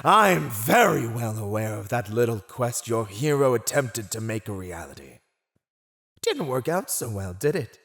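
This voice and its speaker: A man's voice: medium pitch, big pitch swings, fairly bright tone. A man playing a silver-tongued villain warlord who taunts a captive in a theatrical, mocking, teasing way for an ASMR roleplay. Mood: smug, sly. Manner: teasing, mocking, theatrical, drawn-out delivery with chuckles.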